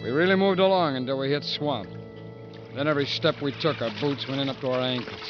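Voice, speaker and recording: A terse, glum male convict, played by a radio actor tells his story in a 1950s drama. The recording is dull, with music clearly running behind the middle-pitched voice. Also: quick pace, natural voice. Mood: grim narration. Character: weary convict narrating, reluctant accomplice in a swamp. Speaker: narrator and character. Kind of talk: 1950s radio drama acting, first-person narration.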